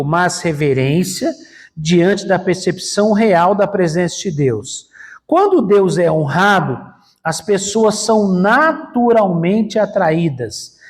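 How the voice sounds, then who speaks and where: A man's voice: high voice, darkish tone. A man preaching a conversational sermon as a pastor in a church, explaining with frequent fillers and rhetorical questions.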